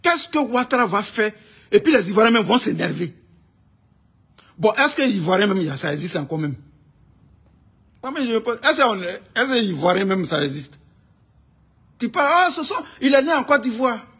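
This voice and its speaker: A man from Ivory Coast with an Ivorian accent and a husky voice, delivering an informal, indignant political rant, emphatic and repeating his phrases insistently. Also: high-pitched, very animated, moderate pace, long pauses, a bit bright. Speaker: online political commentator.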